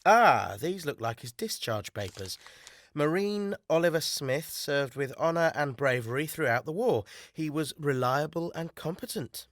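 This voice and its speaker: Blunt, matey British council worker. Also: male voice, fairly high pitch, lively intonation, a little slow, a bit bright, no echo. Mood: brisk, businesslike. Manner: casual, blunt, colloquial. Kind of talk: naturalistic audio drama acting.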